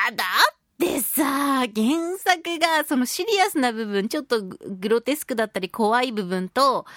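A woman hosting a casual radio talk show, with a fairly high voice that swings widely in pitch. She speaks expressively and emphatically, chatting straight to her listeners in a close, dry recording. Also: no echo. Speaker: radio show host and voice actress.

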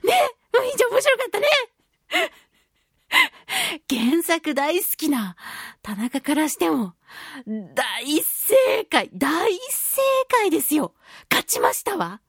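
A woman radio host talking casually to her listeners in an expressive, emphatic, chatty way, stretching words for emphasis. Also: sits high, takes it slowly, no room sound, studio quality. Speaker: radio show host and voice actress.

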